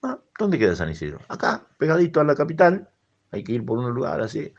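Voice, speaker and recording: Animated Argentine man telling a story. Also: medium pitch, fairly quick, creaky. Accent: Argentine Spanish. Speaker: tango singer, guest. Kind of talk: casual radio storytelling. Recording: dull lo-fi radio audio.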